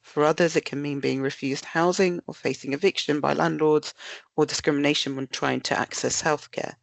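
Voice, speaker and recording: Woman, nasal from a cold, gravelly fry, close dry sound, webinar presenter. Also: sits low, steady tone, a little fast, dry recording. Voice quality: nasal, bunged-up. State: blocked with a cold. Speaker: research director presenting.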